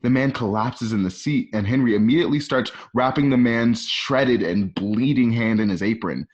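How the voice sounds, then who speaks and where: A man's voice: mid-range voice, normal speed. A man reading out stage directions as the narrator of a radio play, in present-tense screenplay style. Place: at home. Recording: slightly dull home recording.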